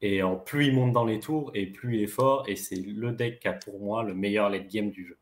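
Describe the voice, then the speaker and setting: A man with a fairly low voice talks through card game strategy step by step, circling back and restarting his sentences as he goes. His voice carries a noticeable roomy echo, as if he is sitting back from the mic in a bare room. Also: normal speed. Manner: explains plays step by step, trailing restarts. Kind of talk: casual strategy discussion.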